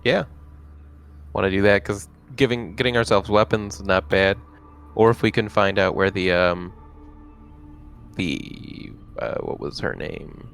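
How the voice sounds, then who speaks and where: A man with a lowish voice full of fry chats as a player in a tabletop game. His delivery is casual and conversational. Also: a little slow, pauses often, a bit bright.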